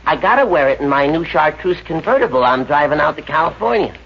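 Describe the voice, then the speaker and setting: A man's voice: a little high, animated, fast talker, vocal fry, a little hiss or hum. An actor playing a gullible, hapless young man with a hesitant stammer, in a 1950s radio comedy. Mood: smug, breezy. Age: young adult. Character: gullible, hapless lovesick young man turned smug rich. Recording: muffled old radio recording.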